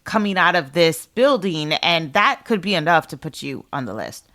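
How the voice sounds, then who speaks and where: A woman's voice: sits low, expressive, normal speed, a bit bright. A woman co-hosting a conversational history discussion, explaining her points with repeated phrasing. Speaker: podcast co-host.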